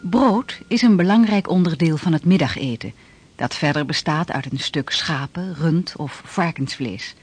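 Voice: medium pitch, lively intonation, normal speed, slight background noise, natural voice. A narrator giving matter-of-fact scripted historical narration within a radio play. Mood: informative.

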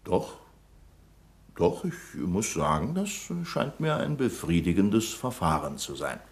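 A man's voice: deep voice, very animated, slow, heavily acted. A man playing a pedantic, fault-finding bank manager in a radio crime comedy, sarcastic and bossy in his clipped delivery. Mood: probing, officious.

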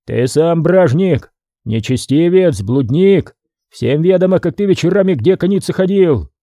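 A man's voice: fairly high pitch, animated, normal speed, dark tone, no echo, studio quality, voice pushed up. A man voicing a firm, commanding scheming priest. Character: ambitious, scheming male priest.

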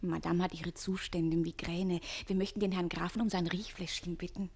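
Breathy, coquettish young chambermaid. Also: female voice, fairly low pitch, flat delivery, moderate pace, a little mellow. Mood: coy, scheming. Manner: demure, coy, breathy. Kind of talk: classic German radio play acting.

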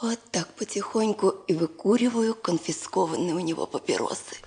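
An actress playing an anxious, devoted wife in a Soviet radio drama, breathy, emotional and wistful, often half-whispering. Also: female voice, fairly low pitch, animated, normal speed, a little mellow, exaggerated voice. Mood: wistful, weary. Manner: emotional, wistful, repeating words.

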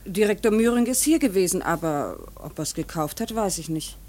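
An actress playing an earnest, reserved daughter of a painter in a radio play, sober and measured. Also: female voice, on the low side, expressive, dark tone. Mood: guarded. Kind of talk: classic German radio play acting.

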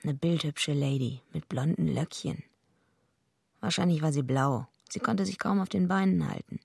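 Radio drama lead: tough female loner, blunt and deadpan, heavily creaky voice, dry close sound. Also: low-pitched, moderate pace, no room sound, exaggerated voice. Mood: dry narration. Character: tough, streetwise, defiant female loner narrating her story. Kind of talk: radio drama acting with first-person narration.

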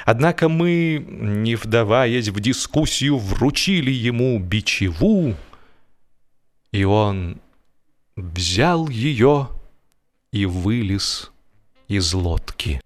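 A man narrating a humorous literary story in an expressive, measured way with deliberate pauses. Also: fairly low pitch. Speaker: audiobook narrator.

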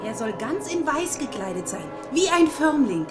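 Casting: excitable gossiping townswoman for radio play, high lively voice over loud bells and music. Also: normal speed. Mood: eager gossip. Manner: eager, exclamatory. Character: excited townswoman in a festive crowd, gossipy and eager. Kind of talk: German radio drama acting. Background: loud music and church bells.

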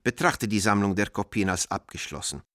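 An actor playing an American art dealer reading out his letters in a radio drama, in a measured, formal way. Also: lowish voice, animated, fairly bright tone, dry recording, clean studio sound. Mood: measured, urgent. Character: American art dealer writing coded letters to an old friend.

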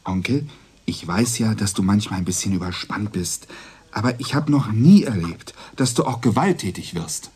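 Exasperated young police dispatcher, husky voice. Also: male voice, on the low side, lively intonation, moderate pace, darkish tone. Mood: pleading, worried. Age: young adult. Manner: pleading, official, exasperated. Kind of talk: German radio crime drama acting.

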